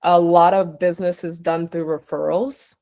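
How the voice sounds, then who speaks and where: Female investor judge from Uganda, low-pitched, conversational and probing. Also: steady tone, normal speed, dark tone. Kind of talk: spontaneous feedback and questions.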